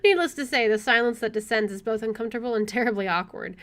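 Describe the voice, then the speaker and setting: A woman playing through a story game, reading the text aloud with expressive delivery and casual asides. Her voice is fairly high and bright, with a forceful edge. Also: quick pace. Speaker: let's player.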